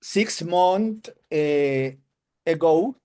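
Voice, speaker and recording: A man from Colombia whose first language is Spanish, with a Colombian Spanish accent, giving a halting testimonial in broken English over a video call. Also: on the high side, lively intonation, darkish tone, clean tone. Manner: hesitant broken English, searching for words. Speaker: webinar attendee giving a testimonial.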